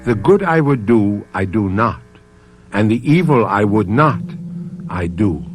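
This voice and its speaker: Radio drama narrator: lowish, brooding, philosophical host, unhurried and theatrical. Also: male voice, animated, over music. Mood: solemn.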